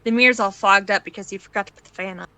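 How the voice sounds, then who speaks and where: A woman's voice: medium pitch, very fast, pushed and bright, clean tone, no echo, low-quality recording. A woman reading scripted second-person fan fiction aloud as the narrator.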